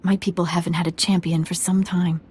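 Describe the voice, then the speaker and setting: Husky, dignified village leader. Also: female voice, fairly low pitch, level tone, a little fast, darkish tone. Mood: solemn gratitude. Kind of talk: video game voice acting.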